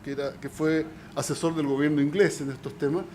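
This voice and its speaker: A man's voice: on the high side, fairly even intonation, brisk, darkish tone, slight background noise. A man speaking as a scientist on a conference panel, hesitant, with many fillers and restarts. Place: auditorium.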